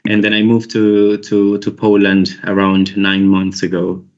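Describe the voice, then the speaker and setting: A man's voice: on the low side, monotone, a little slow, a little mellow. A young man from Costa Rica with a Costa Rican accent, a recruiter chatting casually on a video call, rambling with many restarts. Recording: dull lo-fi call audio.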